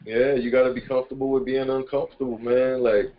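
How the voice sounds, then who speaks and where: A man's voice: mid-range voice, flat delivery, quick pace. A man speaks in a mid-range voice over a dull, lo-fi conference call. He chats informally and rambles a little, in an affirming, motivational way. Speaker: participant in a self-improvement conference call. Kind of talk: casual motivational conversation. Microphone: conference call line.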